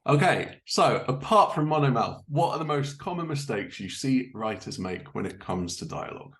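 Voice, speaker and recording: A man's voice: mid-range voice, very animated, moderate pace, fairly bright tone. A man hosting a podcast, chatting casually and putting questions to his guest. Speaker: podcast host. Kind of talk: casual interview chat.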